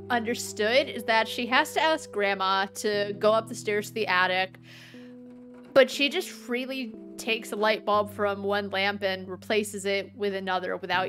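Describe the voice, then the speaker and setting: A woman in her thirties streaming a game, with a dry, sometimes monotone-sounding voice, chatting and rambling. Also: medium pitch. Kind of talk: casual gameplay commentary. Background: faint game music.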